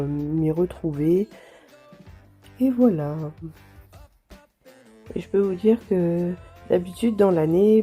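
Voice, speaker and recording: A woman with a stuffy nose from a cold, chatting gently to viewers as she tidies her home, with soft music behind. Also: mid-range voice, breathy edge. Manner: gentle, chatty, apologetic asides with light laughs. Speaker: home vlogger. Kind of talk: casual vlog narration.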